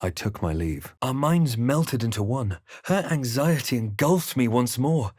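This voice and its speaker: Moody fantasy game hero, wry n haunted, dramatic measured delivery, lively pitch, dry studio sound. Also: male voice, no room sound. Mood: grave, haunted. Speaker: video game player-character voice actor. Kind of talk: dramatic first-person fantasy game narration and voice lines.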